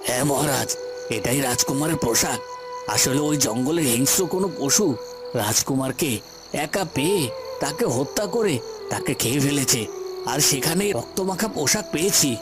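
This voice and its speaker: A man's voice: medium pitch, expressive, moderate pace, over music, natural voice. A man playing a scheming courtier in a fairy-tale cartoon, outwardly respectful and deferential as he explains himself to a ruler. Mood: solemn, persuasive. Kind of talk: Bengali fairy-tale cartoon dramatic acting.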